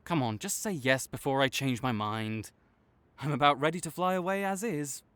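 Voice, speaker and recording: A man playing a tsundere supervillain in an audio roleplay, halting and exasperated, teasing and reluctantly caring toward a rival. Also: medium pitch, fairly bright tone, clean tone, no echo, close to an everyday voice. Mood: reluctant, gruff. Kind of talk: intimate audio roleplay addressed to the listener.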